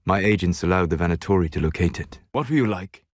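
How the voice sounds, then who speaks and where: A man playing a calm, scholarly, melancholy elven mage in a video game, speaking in deliberate, formal phrases. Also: sits low, no room sound, clean studio sound. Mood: grave, measured. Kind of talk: scripted video game character dialogue.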